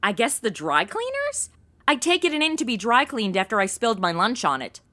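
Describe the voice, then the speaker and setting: A woman's voice: fairly high pitch, sing-song, brisk, bright, forceful tone. An actress playing a brash, ditzy modern young woman in a comedic audio drama, talking in blunt, slangy valley-girl phrases. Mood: sassy, clueless.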